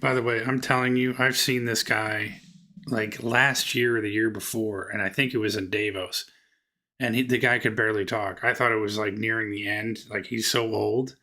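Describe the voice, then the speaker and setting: A man's voice: on the low side, steady tone, normal speed, bright, forceful tone. A man commenting casually on a clip, with a mocking, offhand way of talking.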